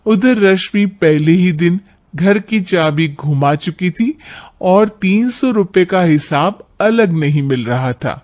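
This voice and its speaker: A man reads a scripted cartoon story as narrator. His voice is fairly high for a man, and he takes his time. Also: animated, takes it slowly, darkish tone.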